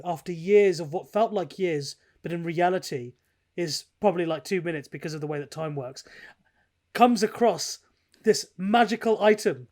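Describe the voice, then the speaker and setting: High-pitched British man bantering on a podcast. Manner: animated, sweary banter. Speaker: podcast host. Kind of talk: casual chat.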